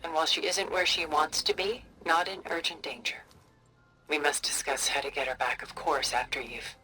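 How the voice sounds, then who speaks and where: Casting: a poised, elegant immortal woman in a story-driven game, low register, measured delivery. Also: fairly even intonation, sharp tone, faint music behind, poor audio. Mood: composed, soothing. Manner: measured, elegant, genteel phrasing. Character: refined, immortal older woman, poised and persuasive. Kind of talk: cinematic video game acting.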